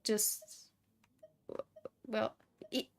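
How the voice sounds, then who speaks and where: A woman giving casual gameplay commentary as a streamer, chatty and thinking aloud in halting bursts. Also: a little hiss or hum. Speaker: game streamer.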